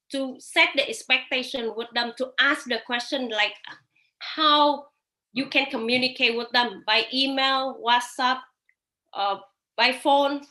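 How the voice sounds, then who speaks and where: A woman who is not a native English speaker, speaking on a live webinar over a video call. She shares advice in halting English full of fillers and restarts. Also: fairly high pitch, bright, forceful tone, rough recording. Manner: hesitant non-native phrasing with frequent fillers and restarts. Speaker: webinar speaker sharing study-abroad experience.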